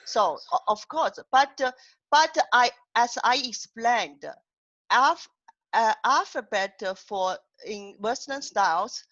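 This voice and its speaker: A woman's voice: on the high side, lively intonation, slow, a bit bright. A woman hosts a casual online poetry and translation chat over a video call. She talks hesitantly, stopping and restarting her sentences, and her voice comes through a dull, lo-fi connection. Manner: hesitant, many restarts and fillers.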